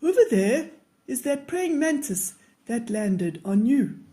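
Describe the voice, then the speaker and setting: Woman reading as a gentle grandfather, slow and lively. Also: a little high. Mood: calm, instructive. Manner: gentle, explaining. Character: patient, kindly grandfather who loves garden bugs.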